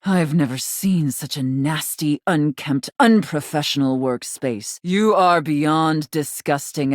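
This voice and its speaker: Video game Santa boss: domineering, haughty, scolding; slow, deliberate delivery, close dry sound. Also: female voice, lowish voice, no room sound. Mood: disgusted, commanding. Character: stern, domineering woman playing a demanding Santa Claus boss. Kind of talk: theatrical video game voice acting.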